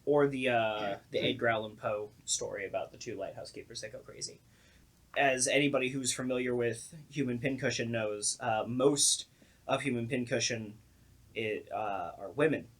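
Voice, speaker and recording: A man's voice: mid-range voice, normal speed, a bit bright. Casual guy chatting about directing. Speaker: radio play director. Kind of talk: casual group podcast chat.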